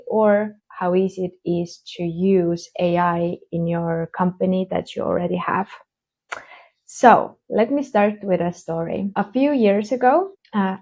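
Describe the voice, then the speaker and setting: Slow-spoken woman from Estonia. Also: mid-range voice, mellow. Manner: slow, hesitant, with many fillers. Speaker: conference speaker. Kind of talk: keynote talk. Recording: dull lo-fi online stream.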